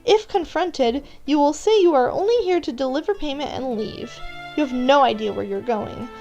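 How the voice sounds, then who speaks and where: A woman's voice: high-pitched, expressive, moderate pace, darkish tone, dull audio. A woman reading scripted second-person story narration, addressing the listener as the character. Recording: music playing behind. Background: background music.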